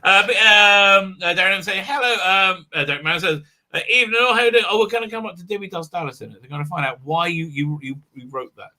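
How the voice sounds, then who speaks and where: A man's voice: high-pitched, fast talker, pushed and bright, poor audio. A man hosting a casual livestream call, rambling through chat messages with lots of fillers and restarts. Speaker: livestream host.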